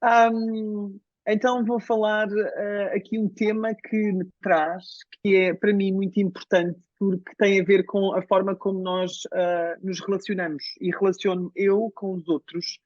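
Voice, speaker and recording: A woman with a Portuguese accent speaks slowly and reflectively as a coaching client, often pausing and restarting. Her voice comes through a dry, dull, lo-fi video-call line. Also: mid-range voice, little pitch movement, a little mellow, no echo. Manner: hesitant, reflective, many pauses and restarts. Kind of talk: live coaching session.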